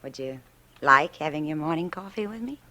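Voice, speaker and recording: Bright, animated, coy flirt. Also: female voice, sits low, moderate pace. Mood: inviting, playful. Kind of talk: old-time radio drama acting.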